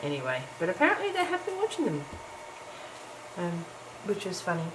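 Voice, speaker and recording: Middle-aged woman, low croaky morning voice, chatty crafting vlogger full of ums and little laughs, camera-mic sound with audible hiss. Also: huge pitch swings, brisk. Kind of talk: casual chat while crafting. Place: home, early morning. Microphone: camera's built-in mic. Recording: noisy home camera recording.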